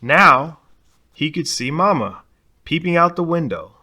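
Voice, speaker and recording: A man's voice: fairly high pitch, lively intonation, unhurried, pushed and bright. A man reading a children's picture book aloud expressively, putting dramatic emphasis on key words. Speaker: storybook reader.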